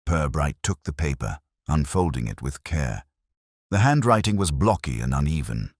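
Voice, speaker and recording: Very deep male storyteller, lively intonation. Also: normal speed, slightly rough, no room sound, studio quality. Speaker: narrator. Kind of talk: scripted narration of a mystery story.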